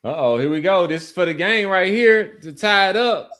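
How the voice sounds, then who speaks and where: A man's voice: high voice, expressive, normal speed, a bit bright. Casual male sports podcast host. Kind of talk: casual sports chat and community sign-off.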